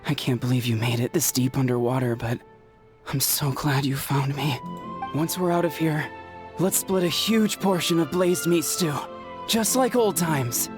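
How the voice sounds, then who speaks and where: A man's voice: on the high side, faint music behind, close to an everyday voice. A man playing a guilt-ridden young warrior in a video game, speaking in a hesitant, sincere, emotional way. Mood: relieved, tender. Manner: hesitant, halting, earnest.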